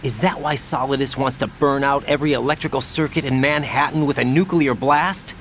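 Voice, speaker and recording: An actor voicing a serious, sceptical young male soldier in a video game, questioning in a terse, steady way. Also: medium pitch, brisk, slight background noise, natural voice. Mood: probing, disbelieving. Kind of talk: scripted game cutscene dialogue.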